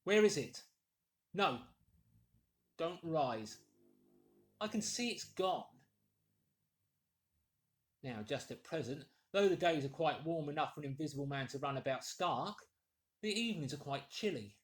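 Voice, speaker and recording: A man's voice: fairly high pitch, animated, measured pace, pauses often. Man acting a menacing, commanding invisible intruder. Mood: coolly commanding. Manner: threatening, curt, formally articulate.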